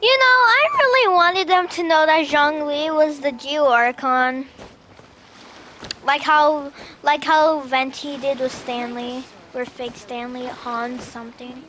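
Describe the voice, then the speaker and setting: A woman's voice: high-pitched, slow, sharp tone, faint music behind. A woman commenting casually as a gamer over gameplay. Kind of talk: casual chat.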